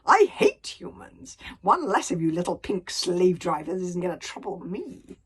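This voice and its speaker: A woman voicing a huge, scornful dragon who sneers in a breathy voice. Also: on the low side, big pitch swings, measured pace, full character voice.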